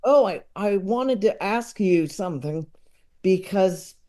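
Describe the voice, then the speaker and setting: Mellow-voiced woman, halting casual talker. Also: medium pitch, expressive. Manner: halting, searching for words. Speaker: podcast guest. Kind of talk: casual chat.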